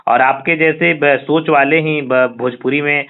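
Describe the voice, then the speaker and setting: A man's voice: on the high side, steady tone, a little slow. A man interviewing someone by phone, hesitant and often repeating his words. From Bihar, India. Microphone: telephone. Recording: tinny, narrow-band phone call recording.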